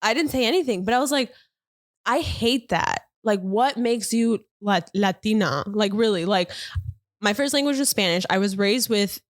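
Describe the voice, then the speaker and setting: Lively woman podcast co-host, dry sound. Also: medium pitch, normal speed, dry recording. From United States. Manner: animated storyteller, laughs often. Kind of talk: casual chat.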